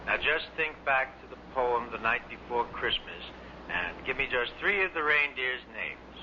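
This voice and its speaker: A man's voice: mid-range voice, lively intonation, moderate pace. A man hosting an old-time radio quiz, coaxing a contestant along. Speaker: quiz show host. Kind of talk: audience participation quiz hosting. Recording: dull vintage radio recording, faint noise.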